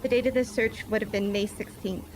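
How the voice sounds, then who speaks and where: A woman's voice: mid-range voice, steady tone, fairly quick, close to an everyday voice. A woman playing a formal, nervous female police officer giving testimony in an online courtroom roleplay. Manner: formal, precise wording. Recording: clearly noisy game voice chat.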